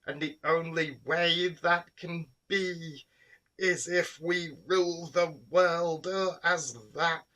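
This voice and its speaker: A man's voice: steady tone, takes it slowly, bright, forceful tone, far from the mic. A man putting on a grating vampire queen. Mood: gloating. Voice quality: deep and grating. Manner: hissing, cackling. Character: giant female vampire bat queen, cruel.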